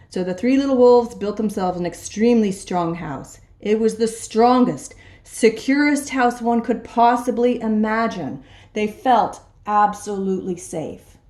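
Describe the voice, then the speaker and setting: A woman reading a children's storybook aloud in an expressive storytelling style. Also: mid-range voice. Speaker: storybook reader. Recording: echoey room.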